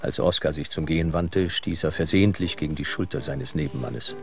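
A man's voice: low-pitched, animated, brisk, creaky. A man reading scripted narration for an audio drama. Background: music.

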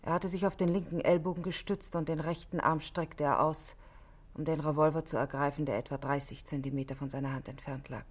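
A married woman under police questioning in a 1960s German radio drama. Her voice is low for a woman. She stays controlled and matter-of-fact, setting out each detail with cool precision. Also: flat delivery, brisk, slightly rough. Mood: grave, recounting.

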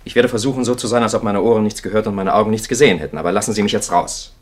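Low-voiced, weary priest played by a man. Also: fairly even intonation, rapid-fire, lightly acted. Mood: weary, insistent. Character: weary, principled male priest, impatient and plain-spoken. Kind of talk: 1950s German radio play acting.

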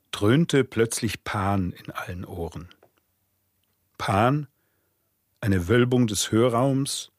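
A man reading scripted literary texts as a radio drama narrator, in a measured, solemn way. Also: fairly low pitch, husky, no room sound, studio quality.

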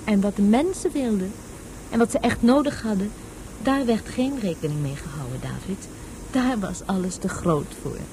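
A woman's voice: medium pitch, animated, normal speed, a little mellow, slight background noise, lightly acted, poor audio. An actress playing a patient woman tutor in a radio play, explaining things didactically as if to a child. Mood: instructive. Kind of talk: Dutch radio play acting.

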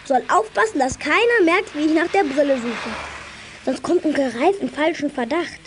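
A gullible little boy, really high voice, blurts stuff out, bit of noise behind. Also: lively intonation, normal speed. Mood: earnest, chatty. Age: child character aged ten. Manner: artless, blurting, hesitant when recalling. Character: eager, naive ten-year-old boy, chatty and gullible. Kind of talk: German children's radio play acting.

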